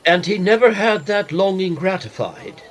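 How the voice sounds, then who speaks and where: An actor playing a well-bred, wry gentleman traveller in a period audio drama, speaking formally and articulately. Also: sits high, expressive, moderate pace. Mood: sympathetic.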